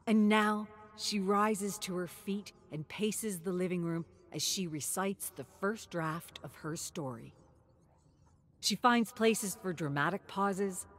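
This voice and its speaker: A woman reading a scripted story as a dramatic narrator, theatrical and emphatic, with pauses for effect. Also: lowish voice, a little hiss or hum.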